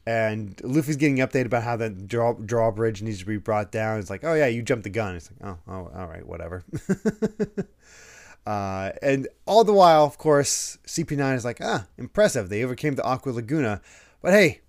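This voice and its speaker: A man of middle pitch hosts a relaxed discussion podcast, his voice slightly croaky as he gets over a cold. He chuckles between thoughts and slips into quick quoted voices when retelling scenes. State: croaky from a recent illness. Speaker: podcast host. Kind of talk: casual podcast chat.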